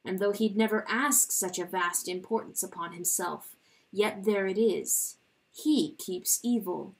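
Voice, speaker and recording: A woman reading a literary fable as a dramatic narrator, with solemn, measured and deliberate phrasing. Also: mid-range voice, low-quality recording. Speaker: audiobook reader.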